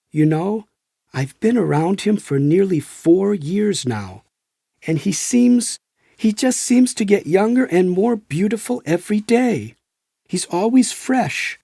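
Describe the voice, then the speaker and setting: A man voicing a friendly, enthusiastic American devotee in audiobook dialogue, with a warm, animated, admiring manner. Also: sits high, gravelly, slightly rough, dry recording, studio quality, voice pushed up, exaggerated voice. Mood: modest, admiring.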